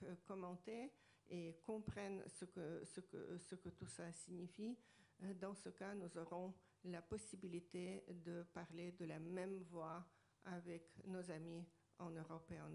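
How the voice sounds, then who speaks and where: A woman interprets for a conference speaker in a warm, mellow voice. She speaks haltingly, filling her pauses with "euh". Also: on the low side, gravelly. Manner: halting, many fillers. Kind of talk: consecutive interpreting.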